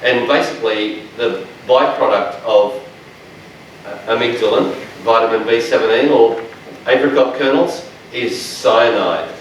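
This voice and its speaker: Passionate loud-voiced man lecturing. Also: mid-range voice, unhurried, slight background noise. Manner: loud strong voice, passionate and jokey. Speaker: dietitian presenter. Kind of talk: lecture to health workers. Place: large echoey training room. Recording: echoey room, speaker far from mic.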